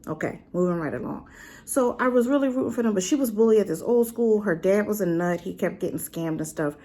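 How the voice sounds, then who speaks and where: A woman's voice: medium pitch, a little fast. A woman casually reviewing a drama in a chatty, rambling way, full of fillers and asides. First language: not Korean. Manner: animated, with rhetorical questions.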